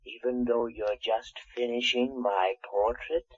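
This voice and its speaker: An actor playing an ominous, insinuating, unseen voice of Death in a 1940s radio drama. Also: male voice, medium pitch, fairly even intonation, husky, no room sound. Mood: eerie, approving. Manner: slow, eerie, insinuating. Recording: dry, dull vintage 1940s radio recording.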